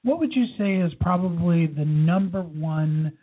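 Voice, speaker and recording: The high, husky-voiced man who hosts a small online talk show, chatting casually with guests over what sounds like a phone line. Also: expressive, a little slow. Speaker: interview show host. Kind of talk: casual interview chat.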